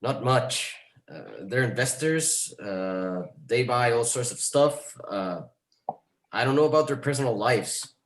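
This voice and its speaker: An impatient dealmaker acted by a man with a mid-pitched voice, reading from a script. He is curt, casual and pushy, and the room echoes around him. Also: animated, a little slow, lightly acted. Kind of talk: screenplay table read.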